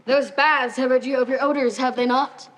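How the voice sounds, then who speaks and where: A teenage girl in a school stage play, playing a brisk, controlling male Victorian doctor with a formal, instructing manner. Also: high-pitched, steady tone, a little hiss or hum, natural voice. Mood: authoritative.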